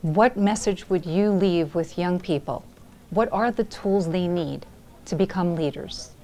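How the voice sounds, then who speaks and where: A woman interviewer asking direct, brisk questions. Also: on the low side, mellow.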